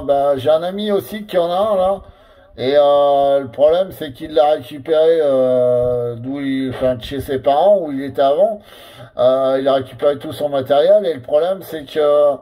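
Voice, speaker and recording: A man operating a CB radio, chatting casually with lots of pauses and filler words. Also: fairly high pitch, mellow. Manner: hesitant, full of fillers and tags.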